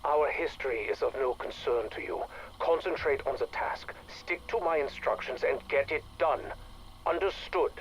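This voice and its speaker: An actor playing a cold, imperious spymaster director in a game audio log, giving orders in a clipped, formal manner. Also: male voice, on the high side, normal speed, slight background noise, close to an everyday voice, poor audio. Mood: cold, commanding. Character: cold, imperious male director of covert operations.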